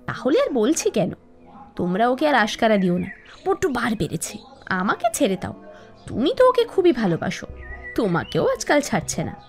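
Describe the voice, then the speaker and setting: High-pitched spiteful nagging young wife. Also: female voice, wildly swooping pitch, normal speed, faint music behind. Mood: aggrieved, manipulative. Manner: shrill, nagging, dramatic complaining. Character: spiteful scheming young village wife and sister-in-law. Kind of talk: animated cartoon moral-story acting.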